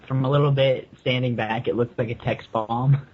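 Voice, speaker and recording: A man co-hosting a podcast in casual, joking banter, haltingly repeating his phrases. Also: medium pitch, steady tone, slightly rough. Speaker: podcast co-host. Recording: muffled lo-fi podcast audio.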